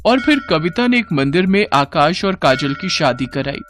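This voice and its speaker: A narrator telling a cartoon story in a scripted, expressive way. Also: mid-range voice, normal speed, loud music behind the voice.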